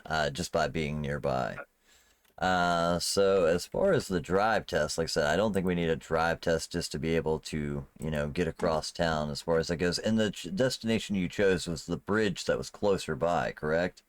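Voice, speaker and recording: Guy running a tabletop rpg, deep voice, dry sound, chatty, making up the narration as he goes. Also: normal speed, dry recording. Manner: conversational, often asks "you know what I mean". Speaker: game master. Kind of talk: improvised narration of a tabletop role-playing game.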